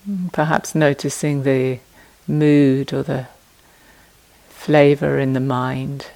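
Gravelly, slow-speaking woman guiding meditation. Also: extremely low pitch, steady tone. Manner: gentle, unhurried, long pauses between phrases. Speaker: meditation teacher. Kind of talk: guided meditation instruction.

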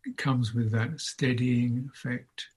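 A man guides a meditation in a mid-pitched, slightly husky voice. He speaks very slowly and contemplatively, setting out short phrases with long pauses between them, as a teacher finding the words in the moment. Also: steady tone, darkish tone. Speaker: Buddhist monk, meditation teacher. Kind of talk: unscripted guided meditation talk.

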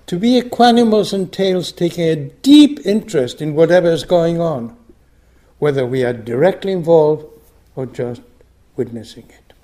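A man's voice: on the high side, animated, normal speed, warm tone. An older man from Argentina, giving a reflective meditation talk with frequent restarts. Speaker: meditation group teacher. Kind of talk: dharma talk.

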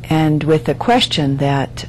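A woman teaching meditation, speaking in measured, deliberate phrases with frequent pauses as she guides a reflection. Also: low voice, lively intonation, a little hiss or hum, rough recording. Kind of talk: guided meditation, dharma talk.

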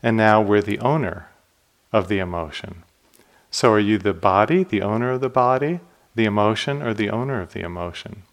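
A meditation teacher with a fairly low voice leads a dharma talk at a retreat center. He speaks calmly and reflectively and keeps turning questions back to his listeners.

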